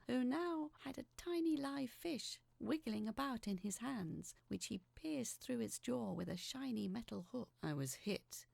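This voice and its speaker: A woman narrates a scripted, dramatic first-person story. Her voice is full of gravelly creak and drops softly at the ends of phrases. She keeps a measured delivery, and the sound is dry, with no room around it. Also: mid-range voice, dry recording. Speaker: storyteller reading a letter aloud.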